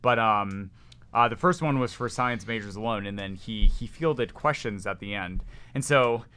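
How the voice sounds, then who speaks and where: Loud, resonant excitable man. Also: lowish voice, moderate pace, smooth. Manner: excitable, rambling with many restarts and swearing. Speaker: podcast guest. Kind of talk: casual chat.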